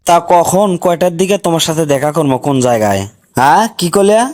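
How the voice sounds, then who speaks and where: Anxious North Bengali young boyfriend, acted by a man, high-pitched. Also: lively intonation, fast talker, darkish tone, dry recording, natural voice. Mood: puzzled. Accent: North Bengal Bangladeshi. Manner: whining, exasperated comic complaining. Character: anxious, broke young village boyfriend turned reluctant groom. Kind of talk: comedy cartoon dialogue.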